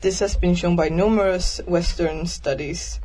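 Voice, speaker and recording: Husky-voiced young woman from Sweden. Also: on the low side, steady tone, a little slow, dark tone, rough recording. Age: young adult. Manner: earnest, with frequent ums. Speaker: volunteer guest presenting a topic. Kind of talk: casual radio chat.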